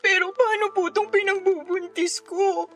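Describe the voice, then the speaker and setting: A woman's voice: very high voice, steady tone, measured pace, a bit bright, faint music behind. A Filipino woman acting as a distressed, insecure twenty-year-old daughter, speaking plaintively in a melodramatic radio drama. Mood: despairing. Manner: plaintive, pleading.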